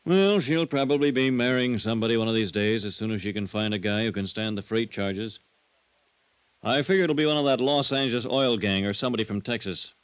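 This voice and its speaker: An actor playing a shrewd, worldly banker with a wry, folksy, unhurried manner in a 1950s radio drama. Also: male voice, medium pitch, clean tone, no room sound, close to an everyday voice. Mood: amused, expansive. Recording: dull, dry vintage radio recording.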